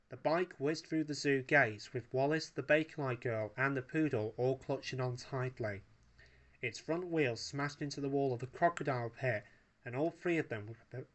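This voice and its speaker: A man's voice: medium pitch, fairly bright tone. A man narrating a story as a storyteller, reading aloud from a text.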